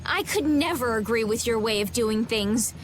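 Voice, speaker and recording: A woman voicing a gentle, earnest young princess, polite and pleading, in a video game cutscene. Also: a little high, lively intonation, normal speed, faint music behind, lightly acted. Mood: resolute, grieved.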